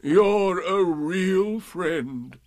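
A man voicing a gloomy old grey donkey from a storybook, with a mournful, drawn-out, heavily resigned delivery. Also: high voice, expressive, darkish tone, voice pushed up, exaggerated voice.

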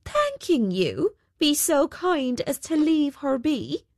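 A woman's voice: high-pitched, big pitch swings, takes it slowly, dry recording, clean studio sound, pitched above the performer's own voice, exaggerated voice. A woman voicing an indignant male country miller in a storybook, pointedly polite and scolding. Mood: wary, indignant. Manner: mock-polite turning to loud scolding. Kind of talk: storybook character voice.

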